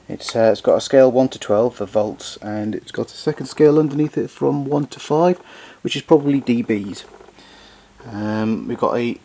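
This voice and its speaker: A man presenting vintage equipment in an unscripted demonstration, explaining things in a hesitant, pausing way. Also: medium pitch, darkish tone, a little hiss or hum. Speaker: vintage tape recorder collector presenting.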